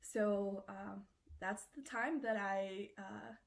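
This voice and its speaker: A woman's voice: mid-range voice, steady tone, takes it slowly, gravelly. Chatty woman, casual interview talk. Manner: chatty, loose, with frequent fillers. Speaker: cosplayer being interviewed.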